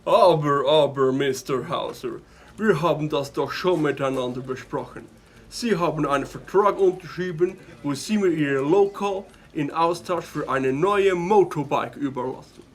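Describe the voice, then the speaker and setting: A slick businessman from a children's audio drama, played by a man, with a fairly high voice. He speaks German with an English accent and sprinkles in English, scheming and quick to take offence. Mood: slick, insistent. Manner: drops English phrases into speech, smooth-talking. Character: slick, scheming businessman planning a hotel, indignant.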